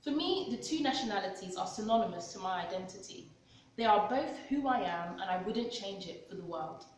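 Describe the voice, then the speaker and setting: A woman's voice: mid-range voice, a little fast, slight background noise. A woman in her twenties from London with a London accent, expressively reading her own personal essay aloud. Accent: London English. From London, England. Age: 20s. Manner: expressive reading with acted-out dialogue. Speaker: author and vlogger. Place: large echoey cultural hall. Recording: very echoey hall, distant mic.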